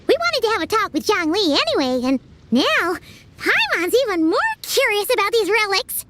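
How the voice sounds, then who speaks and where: Casting: a tiny bubbly fairy sidekick, piping high, sing-song, game music behind. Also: female voice, moderate pace, very noisy. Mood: chirpy. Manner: bubbly, excitable, very high-pitched. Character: tiny chirpy female fairy companion, eager and curious. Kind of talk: video game voice acting. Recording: game dialogue under music.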